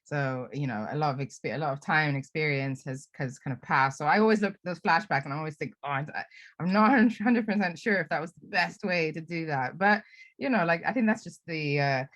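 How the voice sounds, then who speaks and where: A woman's voice: low-pitched, brisk, a bit bright, dry recording. A woman of Lebanese origin in her thirties, a film director answering fan questions on a video call, chatty and self-reflective with frequent laughs and restarts. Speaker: film director being interviewed.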